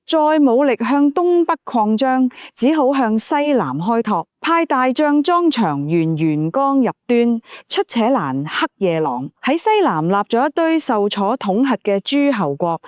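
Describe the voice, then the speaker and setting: A composed, scholarly young noblewoman played by a female narrator lectures in Cantonese in an audiobook tale. Her delivery is measured and didactic, yet the fairly high voice rises and falls vividly. The sound is close and dry. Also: big pitch swings, darkish tone, dry recording. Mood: calm, explaining. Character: learned, composed young noblewoman explaining history. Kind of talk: audiobook character dialogue.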